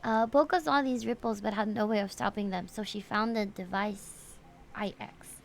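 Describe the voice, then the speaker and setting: A woman reading aloud the formal, measured lines of a solemn, defiant cosmic game character. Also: medium pitch, fairly even intonation, vocal fry, slight background noise, close to an everyday voice. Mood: cool, scornful.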